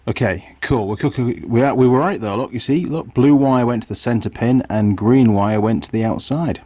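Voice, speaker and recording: A man with a husky voice giving casual hands-on workshop commentary, muttering to himself and his viewers as he works. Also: mid-range voice, normal speed, dark tone, dry recording. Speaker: vlogger, hobby mechanic.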